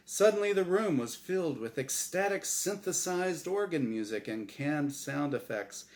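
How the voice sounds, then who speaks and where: A man's voice: fairly high pitch, animated, measured pace, a little mellow. A man narrating a scripted short story as a dramatic reading by its author.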